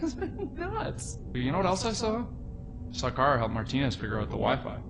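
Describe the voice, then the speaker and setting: A man's voice: mid-range voice, huge pitch swings, brisk, background noise. A man playing a naive, earnest crewman in a comedy, rambling and hesitant as he tries to placate people. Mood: pleading, worked up. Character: earnest, naive, waffling nice-guy crewman. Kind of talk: comic improvised-sounding dialogue. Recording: music clearly behind the voice. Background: music.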